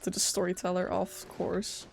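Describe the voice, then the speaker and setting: A woman's voice: fairly low pitch, completely flat delivery, moderate pace, creaky, music in the background. A young woman game streamer giving chatty, self-deprecating commentary in a casual style.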